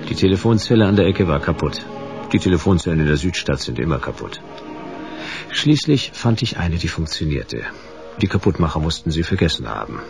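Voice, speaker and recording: A deep-voiced private detective in a hard-boiled radio play. He is laconic and sardonic, with lively swings in his dry delivery and music behind him. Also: a little fast, vocal fry, heavily acted. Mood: wry narration. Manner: dry, deadpan wisecracks. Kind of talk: hard-boiled German radio drama narration.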